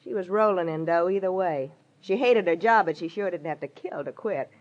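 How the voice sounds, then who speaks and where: An actress playing a tough, sassy American dame, brusque and slangy in 1940s radio style. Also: female voice, lowish voice, brisk, lo-fi sound, natural voice. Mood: bored, sassy. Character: tough, sassy young spitfire dame, guarded and bored.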